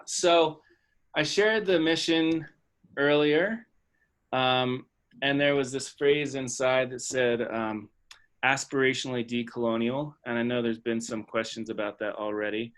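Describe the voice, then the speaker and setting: A man hosting an online webinar discussion, speaking in a thoughtful, tentative and halting academic manner. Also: medium pitch, fairly even intonation, a bit bright. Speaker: webinar host and facilitator. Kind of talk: hesitant, thoughtful facilitated discussion. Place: online video call.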